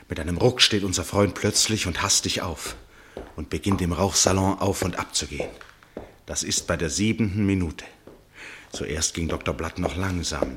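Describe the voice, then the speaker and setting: An actor playing an absorbed first-person narrator in a literary radio play, measured and suspenseful, with deliberate pauses and a husky voice. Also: male voice, low-pitched, background noise. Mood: mounting tension. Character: absorbed male first-person narrator watching a tense match.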